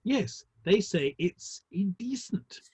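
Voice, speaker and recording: A man playing a wry, urbane, gossipy Victorian theatre manager in a dramatic play reading, with arch, ornate delivery and a husky voice. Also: high voice, sing-song, slow, darkish tone, no echo, exaggerated voice. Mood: wry exasperation. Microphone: online call.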